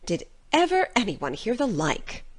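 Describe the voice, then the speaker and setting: A practical, stern older woman from a storybook drama acted by a woman. She scolds briskly and firmly, her pitch moving in lively swoops. There is no nonsense in her delivery. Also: heavily acted. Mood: incredulous, scornful. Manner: brisk, scolding, incredulous, firm. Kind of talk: dramatic audiobook character reading.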